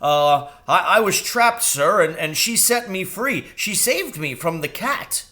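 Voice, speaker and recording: A man's voice: high-pitched, animated, a little slow, sharp tone, voice pushed up, full character voice. A man voicing a nervous, eager male crow in a storybook, polite and hesitant, with a stammer. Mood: nervous, deferential.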